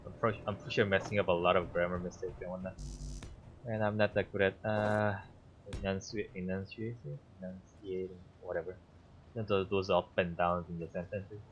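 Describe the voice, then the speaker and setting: A man whose first language is Korean, streaming a game and thinking aloud in a halting way with many fillers. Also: deep voice, steady tone, dull audio, slight background noise. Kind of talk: casual gameplay commentary.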